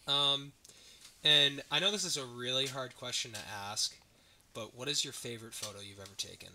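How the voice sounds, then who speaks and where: Young guy hosting a chill podcast, super bright forward voice, noisy background. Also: medium pitch, normal speed. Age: teens or young adult, a student. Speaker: podcast host, interviewer. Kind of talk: casual podcast interview.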